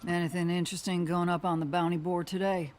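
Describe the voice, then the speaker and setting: Woman playing a gruff cowgirl bounty hunter. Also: lowish voice, flat delivery, fast talker, a little mellow, lightly acted. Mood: businesslike. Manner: dry, sardonic, folksy. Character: gruff, world-weary female mech-pilot bounty hunter in a post-apocalyptic western. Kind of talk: video game cutscene acting. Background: background music.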